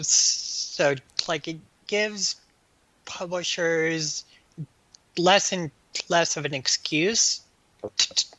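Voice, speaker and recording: A man with a bright, forceful voice answers as a guest in a casual interview. He talks extremely slowly and haltingly, breaking phrases into small pieces and stammering on the first sounds of words. The recording is dry and close. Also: a little high, no echo, poor audio. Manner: halting, choppy delivery with stammered word starts. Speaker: interview guest, author and editor.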